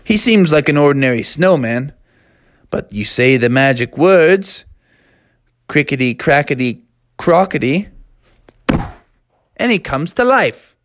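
Man playing a folksy, expressive frog dad. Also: a little high, measured pace, long pauses, dry recording. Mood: playfully mysterious. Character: folksy, easygoing father frog with a playful streak.

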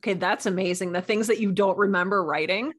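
Female podcast guest, mid-range voice, casual and playful storyteller with animated asides. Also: mid-range voice, quick pace. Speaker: guest author and podcast producer.

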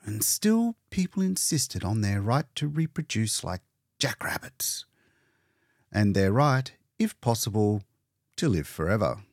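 A man's voice: fairly low pitch, big pitch swings, measured pace, a little mellow, no room sound, studio quality, heavily acted. A man voicing an elderly, self-assured male doctor who lectures in expansive, emphatic speeches for an audiobook. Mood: grand, indulgent. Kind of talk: audiobook character dialogue.

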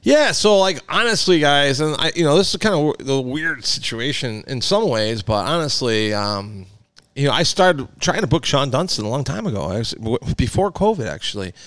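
A man's voice: mid-range voice, animated, moderate pace, fairly bright tone, no room sound. Man rambling animatedly on a podcast. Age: 40s. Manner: rambling, lots of fillers and restarts. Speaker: podcast host. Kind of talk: casual podcast chat.

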